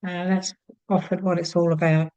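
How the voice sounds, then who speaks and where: A woman's voice: fairly low pitch, flat delivery, normal speed, no echo. Chatty older Australian woman, dry close sound. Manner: chatty, warm-hearted, many fillers and restarts. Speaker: psychic channel host. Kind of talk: casual talk to camera. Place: home room with shelves of card decks.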